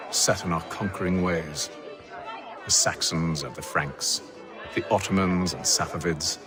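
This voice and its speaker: An actor playing a confident, worldly gentleman leader in a game cutscene, speaking eloquently with dry wit. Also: low-pitched. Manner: measured, eloquent, dryly wry. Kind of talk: dramatic game cutscene acting.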